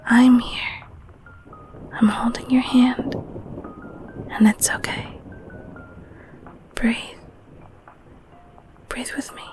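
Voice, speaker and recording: A woman voicing a loving, clingy, protective girlfriend in an intimate ASMR roleplay, speaking in a soft whispery voice with tender, gentle cooing. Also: fairly high pitch. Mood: soothing, protective. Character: loving clingy girlfriend, secretly eldritch being, soothing and protective. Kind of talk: ASMR audio roleplay, intimate one-sided scene.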